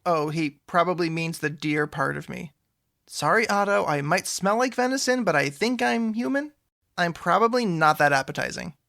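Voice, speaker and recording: Troubled supernatural misfit for audio drama: heavy vocal fry, wry, awkward, conversational. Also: male voice, high-pitched, lively intonation, normal speed, pushed and bright, full character voice. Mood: wry, friendly. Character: troubled, awkward, wry man cursed with antlers.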